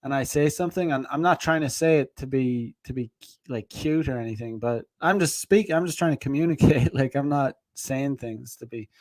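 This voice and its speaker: A man's voice: medium pitch, fairly quick, darkish tone. A man talks loosely on a casual stream, circling back on himself with constant fillers and frequent laughs. His voice sits in an ordinary middle range. The sound is dry and close, with no room echo. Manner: rambling, heavy on fillers, laughs often. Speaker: dating advice streamer. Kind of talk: casual rambling livestream talk.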